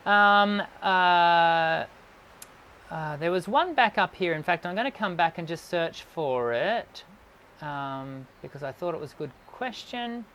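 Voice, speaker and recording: A man, a voice teacher, hosts a live stream, reading viewer questions and answering in a measured way. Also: a little high, animated, smooth, lo-fi sound. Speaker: host and voice teacher. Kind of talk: live-stream show hosting.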